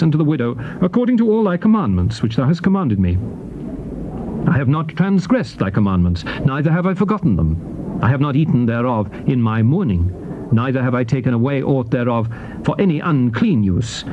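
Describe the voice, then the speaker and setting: A dull, clearly noisy cassette recording carries an actor playing a solemn male lawgiver. He declaims scripture in a measured, commanding manner, with a mid-pitched voice that rises and falls in lively sweeps. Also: natural voice. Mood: solemn, reverent. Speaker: scripture narrator. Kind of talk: dramatized King James Bible reading.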